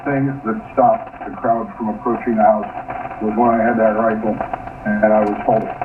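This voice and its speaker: A man with a fairly low voice gives his account in a news soundbite. It sounds thin, distant and noisy, as heard over AM radio. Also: little pitch movement, normal speed, phone-quality sound. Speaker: news interviewee. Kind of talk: spoken account in a news clip.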